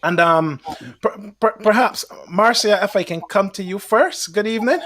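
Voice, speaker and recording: A man's voice: high voice, moderate pace, a bit bright. A man with a Caribbean English accent co-hosting an online chat, teasing and welcoming guests. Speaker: co-host. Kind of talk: casual live-stream chat.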